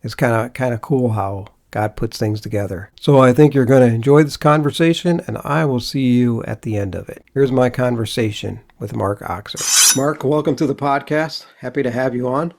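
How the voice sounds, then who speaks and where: Podcast host guy, earnest and friendly, middle-pitched voice. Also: moderate pace, gravelly, hardly any pauses. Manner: earnest, friendly, faith-centered. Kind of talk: scripted intro then casual interview.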